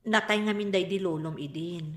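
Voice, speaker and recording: A woman's voice: on the low side, moderate pace, close to an everyday voice. An actress playing a proud, stubborn mother with plaintive, resistant delivery in a radio drama. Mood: wistful. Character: proud, stubborn mother, regretful about unfinished schooling. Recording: roomy, echoing drama recording.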